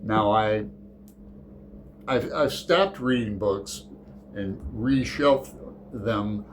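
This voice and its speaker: A man's voice: lowish voice, very slow, a little hiss or hum. A middle-aged man hosting a casual book vlog, talking in a rambling, digressive way with fillers and self-corrections. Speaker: book channel host.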